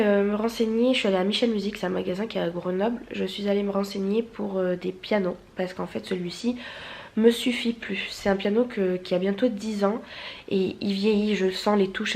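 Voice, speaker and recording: A woman's voice: medium pitch, gravelly, a little mellow. A young woman with a stuffy nose from a cold, chatting casually in a vlog, rambling with many fillers and restarts. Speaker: vlogger. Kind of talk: casual vlog chat to camera.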